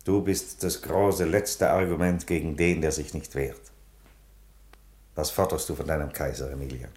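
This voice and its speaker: A man's voice: very deep voice, fairly even intonation, moderate pace, darkish tone, roomy sound. A man playing a solemn, defiant, fatherly Roman emperor in a theatrical radio drama, declamatory in manner. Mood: calm, solemn. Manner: solemn, declamatory, from whispers to thunder.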